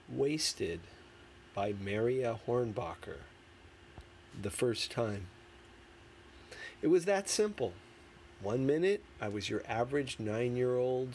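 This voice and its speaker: Male audiobook reader, mid-range pitch, expressive memoir narration, deliberate dramatic phrasing, noticeable background noise. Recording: clearly noisy background.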